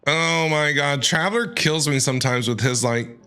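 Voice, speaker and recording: A woman's voice: sits low, normal speed, sharp tone, slight background noise. A woman doing casual, playful, teasing gameplay reaction commentary. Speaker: streamer.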